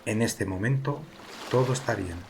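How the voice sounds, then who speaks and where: A man narrating a guided meditation as its guide, speaking calmly and soothingly in slow phrases with pauses. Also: mid-range voice, vocal fry, slight background noise. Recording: ocean waves behind the voice. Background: sea waves, water ambience.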